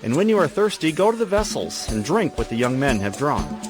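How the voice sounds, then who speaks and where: An actor plays a kindly older landowner, a man of faith and authority. His delivery is solemn and gentle with a very lively melody. Music plays under this biblical drama performance. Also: medium pitch, a little fast, lightly acted. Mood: kind, reassuring. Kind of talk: dramatized scripture audiobook acting.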